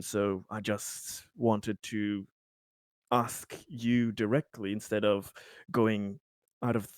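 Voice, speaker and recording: A man's voice: mid-range voice, darkish tone, dry recording, lightly acted. Man as awkward company superior in tabletop roleplay: mid-range voice, friendly, hedging, wordy, stammering, dry sound. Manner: rambling, hesitant, word salad. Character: awkward, over-polite male bureaucratic superior, friendly-like.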